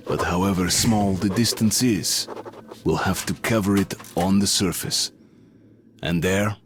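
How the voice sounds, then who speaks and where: Noisy ambience, male actor as weary wasteland survivor, lowish pitch, sombre, measured, scripted game narration. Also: natural voice. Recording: clear ambient noise behind the voice.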